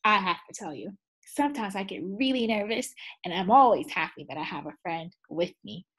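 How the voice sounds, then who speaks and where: A woman teacher reading a picture book aloud to second graders. She is animated and keeps asking the children questions. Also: mid-range voice, brisk, slightly rough. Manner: animated, asks the class many questions. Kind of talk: read-aloud lesson for children.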